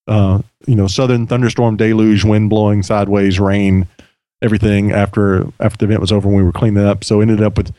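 Man, podcast host, low voice, slightly hoarse, rambling casual chat, dry close sound. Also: mellow, no room sound. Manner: chatty, rambling, many restarts.

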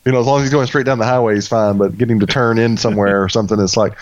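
A man's voice: lowish voice, fairly even intonation, racing, no echo, dull audio. A man co-hosting a casual tech podcast, telling anecdotes in an amused, laughing way. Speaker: podcast co-host.

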